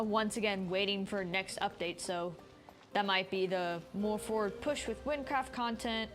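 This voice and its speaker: A woman's voice: mid-range voice, a bit bright, slight background noise. Casual, rambling woman game streamer. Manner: rambling, informal, thinking aloud. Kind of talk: casual livestream chat.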